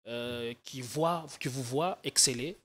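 A young man hosting a political TV interview show, animated and energetic as he introduces his guest and asks questions. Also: mid-range voice, slow pace. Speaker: TV talk show host. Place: TV studio. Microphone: desk microphone.

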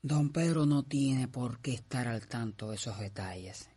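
Husky, scheming Cuban father. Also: medium pitch, measured pace, mellow. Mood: smug, confident. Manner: confident, commanding. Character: scheming, self-assured father of grown son. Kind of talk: radio drama acting.

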